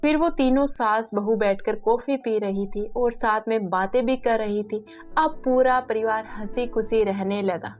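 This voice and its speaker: A woman's voice: on the high side, a little slow, dull audio. Woman narrating a story over faint music.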